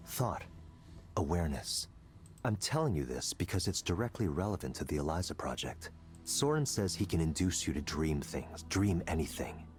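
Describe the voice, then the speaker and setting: Very deep-voiced, coldly confident tech executive. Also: male voice. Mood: intense, philosophical. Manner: deliberate, lecturing, persuasive. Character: confident, coldly intellectual male tech executive, grandiose and driven. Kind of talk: visual novel voice acting.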